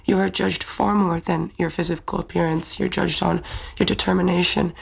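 Young woman with gravelly, husky voice. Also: fairly low pitch, little pitch movement, moderate pace. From Czech Republic. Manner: thoughtful, earnest, fluent English speaker. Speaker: beauty pageant winner. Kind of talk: interview answers.

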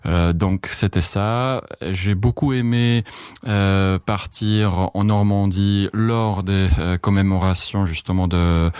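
A man with a deep, smooth voice and a Czech accent, recorded close and dry. He speaks as a correspondent being interviewed, recounting things casually. His delivery halts and circles back on words as he looks for the right one. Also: steady tone, darkish tone, no echo. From Czech Republic, Prague. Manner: halting, searching for words, repeats himself.

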